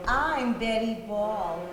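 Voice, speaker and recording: Woman acting miffed wistful ex, slow highish voice, very echoey stage. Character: wistful, miffed former girlfriend of a pilot. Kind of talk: amateur stage comedy. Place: theatre stage during a live play. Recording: very echoey stage hall, faint hiss.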